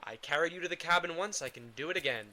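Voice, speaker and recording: A man's voice: on the high side, fast talker, bright, forceful tone, a little hiss or hum. A man playing a guilt-ridden, protective male vampire in an intimate audio drama, with dry sarcasm and a weary, casual delivery. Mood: dry, teasing.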